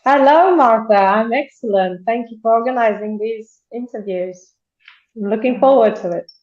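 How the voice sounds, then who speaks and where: A woman from Bulgaria speaks as a guest on a video call, her voice sitting a little high. She talks in a chatty way, laughs often and restarts her sentences. The sound is echoey and dull, like a room picked up by a laptop microphone. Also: moderate pace. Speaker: interview guest. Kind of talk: casual interview over video call.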